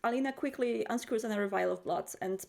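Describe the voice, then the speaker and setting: A woman's voice: on the high side, fast talker, vocal fry, darkish tone. A woman playing a tabletop roleplay game, casually describing what her character does.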